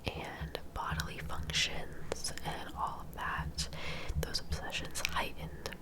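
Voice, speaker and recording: A woman whispering softly and informally in a breathy ASMR storytelling style, taking her time. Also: poor audio. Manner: soft, unhurried, informal. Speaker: ASMR host narrating true crime.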